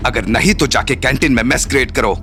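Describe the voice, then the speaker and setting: An exasperated Indian-accented male professor in an audio drama, played by a man. He speaks at a medium pitch in a curt, commanding way, with music clearly behind him. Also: fast talker, close to an everyday voice. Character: stern, exasperated male college professor in his thirties. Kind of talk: audio drama acting, mixing Hindi and English.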